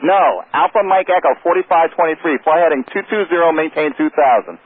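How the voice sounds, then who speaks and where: A man working as an air traffic controller, giving clipped, brisk, procedural instructions over radio. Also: fairly high pitch, slightly rough, narrow, tinny audio. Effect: radio transmission.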